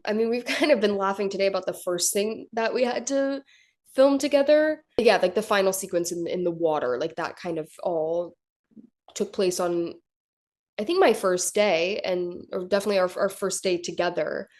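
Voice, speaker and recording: A young actress chatting casually in an interview, with lots of likes and ums. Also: female voice, medium pitch, normal speed. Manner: casual, chatty, filler-heavy. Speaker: actor. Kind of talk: press junket interview.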